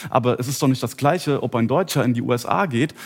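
Male debate guest, mid-range voice, rapid and pushy, doubling back on his phrases. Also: mid-range voice. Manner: insistent, repeats himself, interjecting. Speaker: talk show guest. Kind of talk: heated panel debate.

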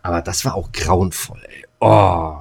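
A man's voice: low voice, measured pace, gravelly. A middle-aged man chatting as a podcast co-host, telling stories in coarse, slangy, exasperated fashion. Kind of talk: casual chat, storytelling.

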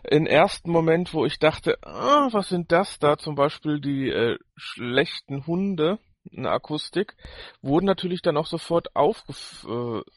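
A man co-hosting a podcast in casual review chat, halting as he searches for words. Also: a little high, animated, no room sound, clean studio sound. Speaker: podcast co-host.

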